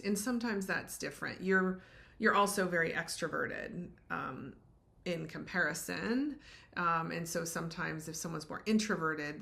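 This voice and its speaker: A middle-aged woman co-hosting a podcast, talking in a reflective, conversational way and often affirming with soft murmurs. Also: low-pitched, vocal fry. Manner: reflective, many affirming mm-hmms. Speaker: podcast co-host.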